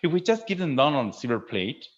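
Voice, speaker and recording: A man's voice: fairly high pitch, big pitch swings, a little fast. A man presenting a webinar to fellow teachers in a didactic way, stressing key words and often checking in with a short questioning tag. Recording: dull lo-fi online webinar audio.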